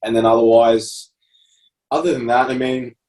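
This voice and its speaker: A man's voice: mid-range voice, level tone, moderate pace, darkish tone, far from the mic, low-quality recording. Australian man in his thirties, a bit off-mic. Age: 30s. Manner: enthusiastic, explanatory, fillers like "like" and "obviously". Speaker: prep coach podcast co-host. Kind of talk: casual podcast chat.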